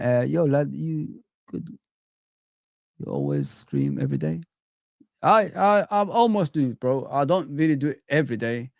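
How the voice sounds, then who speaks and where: A young man from Somalia chats casually on his football stream, rambling through viewer comments in a loose, easygoing way. His mid-pitched voice has a gravelly edge, and the sound is dry and close. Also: no room sound. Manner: relaxed, rambling, calls viewers bro. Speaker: live stream host. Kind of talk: casual live chat reading comments.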